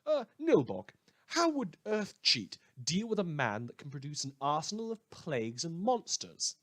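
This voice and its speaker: A man hosting a scripted fictional radio show, telling it in a measured, wry and theatrical way. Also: fairly high pitch, wildly swooping pitch, a bit bright.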